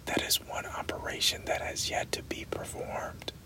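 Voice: moderate pace, pushed and bright, close to an everyday voice, low-quality recording. A reader voicing a wry, learned, stout male doctor, whispered throughout. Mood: dry. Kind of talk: whispered audiobook reading.

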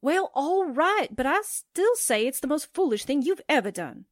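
A woman's voice: sits high, very animated, moderate pace, no room sound, studio quality. A woman playing a perky, anxious newspaper sidekick in a 1940s-style radio drama. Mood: worried protest. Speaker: voice actor.

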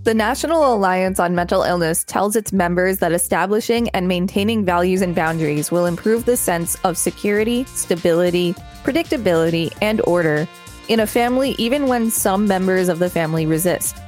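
A woman's voice: fairly low pitch, brisk, a little mellow, soft background music. A woman co-hosting a podcast, reading reference text aloud with casual commentary. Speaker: podcast co-host.

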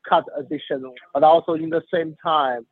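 Halting higher-voiced man on a phone-like line. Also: steady tone, breathy edge, no room sound. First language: not English. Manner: halting, repeats words. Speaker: artist, interviewee. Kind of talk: spontaneous explanatory interview. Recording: thin phone-like remote line, dry.

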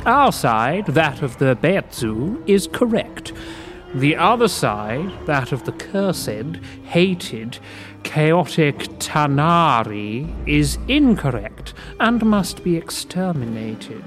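An actor playing an unctuous, coolly zealous fiend with formal, deliberate, politely oily speech. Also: male voice, on the high side, sing-song, fairly bright tone, soft background music, full character voice. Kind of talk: audio drama acting.

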